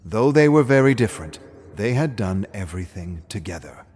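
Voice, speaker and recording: Low-pitched man telling a fairy tale, expressive. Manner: dramatic, measured storyteller delivery. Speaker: narrator. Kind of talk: scripted fairy-tale storytelling narration.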